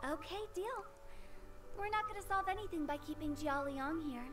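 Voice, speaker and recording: A woman's voice: extremely high pitch, moderate pace, music in the background. A woman voicing a playful, cheeky young funeral director in a video game, with a teasing, theatrical delivery. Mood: grudging. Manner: teasing, theatrical, sing-song.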